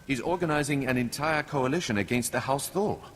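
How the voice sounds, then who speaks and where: An actor playing a scheming, formal aristocrat in a video game, speaking in a grave, measured manner. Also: male voice, medium pitch, lightly acted. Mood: grave, resigned. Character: scheming, formal male aristocrat hiring a killer. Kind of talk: scripted video game acting. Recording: reverberant hall, faint noise.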